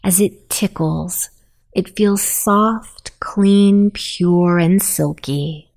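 A woman narrating a guided sleep meditation in a soothing, softly spoken, unhurried manner. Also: lowish voice.

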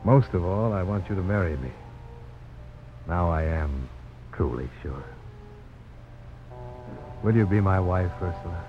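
An actor playing a weary, resolute frontier adventurer in a grave, measured 1950s radio drama style. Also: male voice, sits low, music in the background. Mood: tender. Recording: muffled, noisy old radio broadcast recording.

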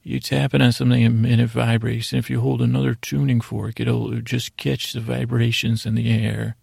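A man hosting a bedtime podcast in a meandering, halting monologue meant to soothe, often interrupting himself, with a gravelly, husky voice. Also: mid-range voice, level tone, a little mellow, no room sound, studio quality. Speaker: podcast host.

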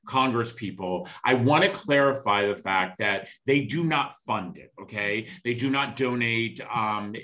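Man, fifties, talk-show host, blunt, bright forceful voice. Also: fairly low pitch. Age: 50s. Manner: blunt and emphatic, frequent "you know" and "okay". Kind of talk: podcast interview hosting.

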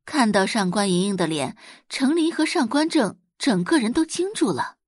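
A woman's voice: fairly high pitch, very animated, normal speed, husky, no room sound. A woman reading scripted dramatic narration as an audiobook narrator.

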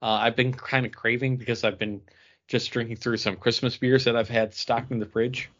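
A man's voice: medium pitch, flat delivery, normal speed, a bit bright. A man talking casually as a podcast co-host. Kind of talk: casual chat. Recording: dull lo-fi podcast audio.

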